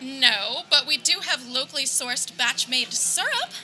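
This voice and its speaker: Lady doing a chirpy eager diner waitress in a radio comedy, high sharp voice. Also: lively intonation, measured pace, heavily acted, poor audio. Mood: perky. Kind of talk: comedic radio play acting.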